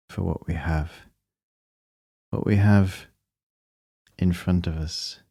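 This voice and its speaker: A man with a deep, soft-edged, mellow voice guides a meditation. He gives gentle instructions calmly and very slowly, in a measured way. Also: dry recording, studio quality.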